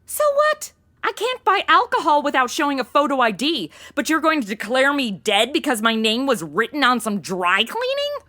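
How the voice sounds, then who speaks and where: A woman's voice: sits high, huge pitch swings, moderate pace, pushed and bright, heavily acted. A woman acting a flighty, self-absorbed young American ex-cheerleader in a comedy audio drama, with slangy, melodramatic delivery. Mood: indignant.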